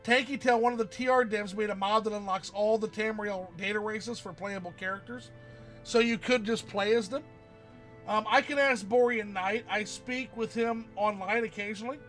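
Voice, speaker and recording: Bright, high-voiced man chatting on stream. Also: fairly even intonation, moderate pace, soft background music. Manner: rambling, reads out viewer chat and answers it. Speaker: streamer host. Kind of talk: casual livestream chat about games.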